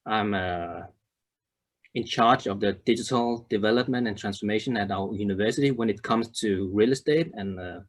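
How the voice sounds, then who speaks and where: A man in his thirties from Denmark giving a presentation, halting often with fillers and restarts. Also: on the low side. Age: 30s. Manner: hesitant, many fillers and restarts. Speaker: conference speaker. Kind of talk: presentation to a web audience.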